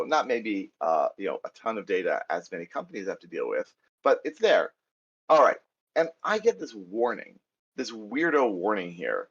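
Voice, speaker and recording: A man's voice: lowish voice, fairly bright tone, breathy edge, muffled recording. A middle-aged man with an American accent, giving a chatty live coding lecture and thinking aloud as he types. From United States. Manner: chatty, self-deprecating, thinks aloud while typing. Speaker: programming instructor.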